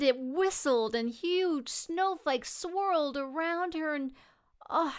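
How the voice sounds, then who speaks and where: A woman reading a children's picture book aloud expressively, with gentle delivery and dramatic pauses. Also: a little high. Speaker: storybook reader.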